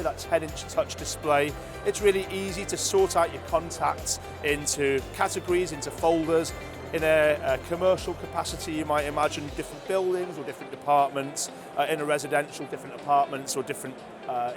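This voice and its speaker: A man explaining a product conversationally as an interviewee, measured and often hesitating with ums and filler phrases. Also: a little high, fairly even intonation, over music.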